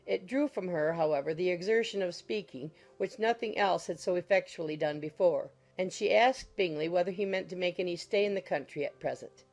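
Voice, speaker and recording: A woman's voice: on the low side, fairly quick, few pauses, darkish tone. A woman reading scripted literary narration as the narrator of an audiobook. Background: music.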